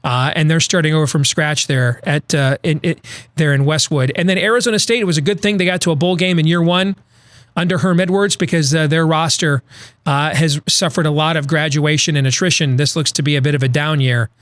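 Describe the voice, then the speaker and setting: Guy breaking down football rosters, kinda high voice, dry close mic, keeps correcting himself. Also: steady tone, a little fast, a bit bright, no room sound. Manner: conversational, with frequent restarts and self-corrections. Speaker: podcast host. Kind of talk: college football analysis monologue.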